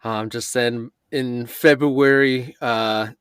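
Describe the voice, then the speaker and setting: Smooth-voiced male podcast host, dry sound. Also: medium pitch, fairly even intonation, takes it slowly, dry recording. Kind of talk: conversational interview.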